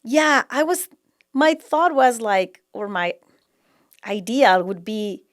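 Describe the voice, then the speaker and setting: A woman's voice: fairly high pitch, animated, slow. A woman from Mexico, a Spanish speaker with a thick Mexican accent, talking casually as a guest on an interview show and often laughing as she talks.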